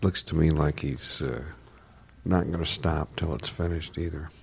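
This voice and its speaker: Man, very low voice, husky, hesitant muttering, deadpan improv comedy. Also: moderate pace.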